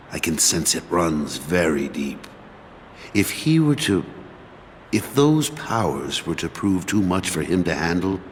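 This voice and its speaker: A man playing a stern but caring middle-aged master in a fantasy game cutscene, speaking in formal, solemn, measured phrases. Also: deep voice, a little hiss or hum. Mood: grave, concerned. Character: stern, caring older master of young warriors. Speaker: mentor and master. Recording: music loud behind the voice.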